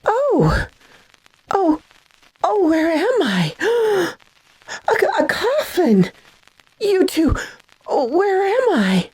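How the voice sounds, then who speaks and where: An actress playing a frightened, bewildered woman in a radio horror drama, speaking in halting, tremulous melodramatic phrases. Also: very high-pitched, huge pitch swings, a little mellow, exaggerated voice, low-quality recording. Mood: frightened, dazed. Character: frightened, bewildered, tormented sister under vampire's spell. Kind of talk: old-time radio drama acting.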